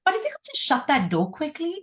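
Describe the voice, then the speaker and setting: A woman's voice: high voice, huge pitch swings, quick pace, fairly bright tone. A woman speaking as an interview guest, reflecting on personal experience in an earnest, emphatic conversational way, often repeating words for emphasis. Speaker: guest, organisational leader.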